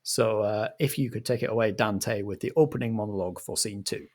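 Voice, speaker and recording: A man's voice: lowish voice, brisk, darkish tone. A man acting as game master and director, setting scenes and giving instructions in a theatrical, organised manner.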